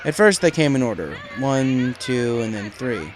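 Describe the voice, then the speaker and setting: A man's voice: medium pitch, big pitch swings, a little slow, background noise. A man reading a story aloud as scripted audiobook narration. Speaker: audiobook reader of fan fiction.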